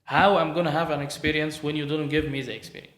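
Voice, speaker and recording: A man's voice: on the high side, fairly even intonation, quick pace, a bit bright. Echoey chatty Egyptian man. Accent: Egyptian Arabic. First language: Arabic. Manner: chatty and sociable, laughs easily. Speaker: podcast host. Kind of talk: casual conversation. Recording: echoey room.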